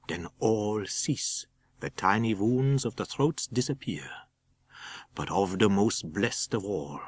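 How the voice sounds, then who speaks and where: A man voicing a grave, commanding foreign professor who hunts vampires, speaking slowly and solemnly in broken English. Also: mid-range voice, dry recording.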